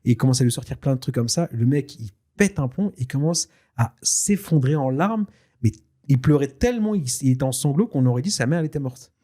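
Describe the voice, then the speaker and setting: A man whose first language is Tashelhit, telling a personal story in a casual, lively way with many fillers and restarts. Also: mid-range voice, fairly quick. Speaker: guest telling his story. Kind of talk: casual spoken testimony.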